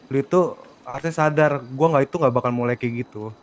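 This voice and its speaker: A man's voice: medium pitch, a little fast. A man arguing insistently in character during an online role-play game. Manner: argumentative, insistent. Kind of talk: in-character argument in a multiplayer game.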